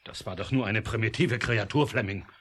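An actor playing an authoritative, probing scientist in a German radio drama, giving orders and asking questions. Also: male voice, on the low side, fairly quick, fairly bright tone, breathy edge. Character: authoritative male scientist in charge, businesslike, probing, calculating.

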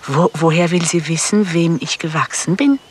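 A woman's voice: fairly low pitch, moderate pace, slight background noise, natural voice. An actress plays a cool female contract killer in a German radio drama. Her delivery is guarded, dry and terse. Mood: wary, probing. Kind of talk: German radio play acting.

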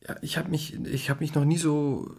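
An actor playing a neurotic, status-proud young man who is easily rattled, speaking haltingly and repeating himself in a radio play. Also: a little high, little pitch movement, creaky, a little mellow. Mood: bleak, reflective.

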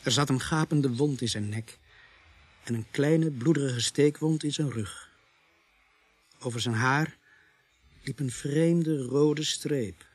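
A husky, grave male narrator. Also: mid-range voice, takes it slowly, long pauses, no echo. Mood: grave, descriptive. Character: grave male narrator recounting grisly murder scenes. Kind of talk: scripted radio drama narration.

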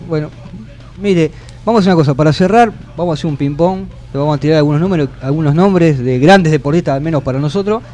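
A man's voice: on the high side, quick pace, darkish tone, faint music behind. A man co-hosting a comedy radio show, asking chatty, lightly teasing questions.

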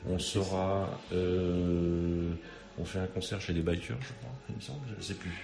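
A male rock musician guesting on a radio show, speaking hesitantly, repeating words and filling pauses with "euh". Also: sits low, steady tone, creaky. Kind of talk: casual radio interview.

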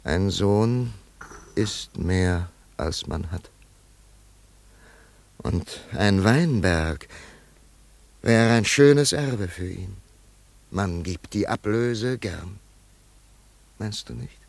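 An actor playing a wealthy, grieving older father in a German radio play, brooding and yearning in a halting monologue. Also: on the low side, gravelly, exaggerated voice. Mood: tender, mournful. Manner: slow, halting, brooding delivery with sudden swells.

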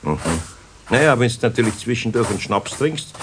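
A man with a Bavarian accent playing a gruff but kindly older master carpenter in a radio drama, with a husky voice. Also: medium pitch, lively intonation, normal speed, heavy background noise, natural voice. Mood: wry, stern. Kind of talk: 1970s German radio drama acting.

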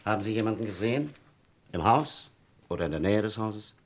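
An actor playing a brisk, commanding police captain in an old German radio crime drama, curt and probing as he questions people. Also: fairly low pitch, animated. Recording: vintage radio play with clear background noise.

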